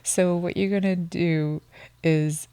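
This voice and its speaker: A middle-aged woman co-hosting a wellness podcast, calmly guiding a breathing exercise and often dropping toward a whisper as she counts. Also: lowish voice, slow, smooth, no echo. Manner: soft-spoken, drops toward a whisper while counting. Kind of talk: calm guided breathing instruction.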